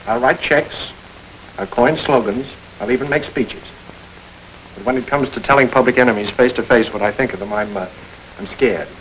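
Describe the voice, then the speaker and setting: An actor playing a suave, wry gentleman crook who runs his gang like a business, in a 1930s crime film. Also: medium pitch, normal speed, a little hiss or hum, close to an everyday voice, poor audio. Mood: urbane. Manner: urbane, wry and smoothly commanding.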